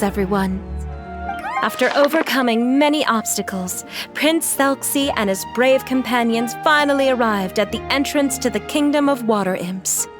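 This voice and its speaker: A woman playing a gentle, tender mother telling a fantasy tale in a video game, with polite encouragement. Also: sits high. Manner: soft-hearted, encouraging, polite. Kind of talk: video game cutscene acting.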